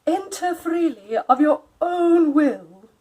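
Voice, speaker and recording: A woman voicing a tall, courtly old male vampire count in a slow, grand manner, with an absurd accent that keeps changing. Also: very high-pitched, lively intonation, a little mellow, pitched above the performer's own voice, full character voice. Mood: courtly welcome.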